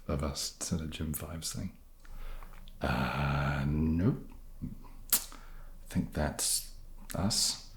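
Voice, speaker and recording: A man chatting softly in an ASMR question-and-answer style, with a low gravelly voice and a hesitant, dry, self-deprecating manner. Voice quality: low, gravelly, soft-spoken. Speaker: ASMR video creator and host. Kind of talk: soft-spoken ASMR question-and-answer chat.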